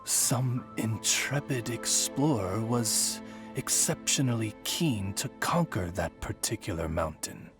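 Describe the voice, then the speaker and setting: An actor playing a formal, analytical retainer in a video game, precise and detached, with a breathy, husky voice. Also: male voice, on the low side, animated, takes it slowly, music in the background.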